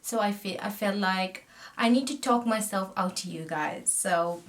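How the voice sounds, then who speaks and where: A talkative woman in her thirties from Sri Lanka speaks to a close camera in a small indoor room. Her voice sits at a middle pitch, and she chats in a friendly, rambling way while working on her makeup, often trailing off mid-sentence. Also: unhurried. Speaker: makeup vlogger. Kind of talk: chatty makeup tutorial. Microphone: camera facing her at close range.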